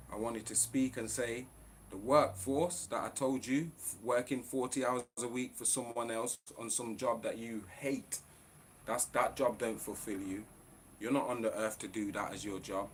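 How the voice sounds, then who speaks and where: A man's voice: lowish voice, a little hiss or hum. A man ranting on a live stream, in a profane, preachy, fired-up way.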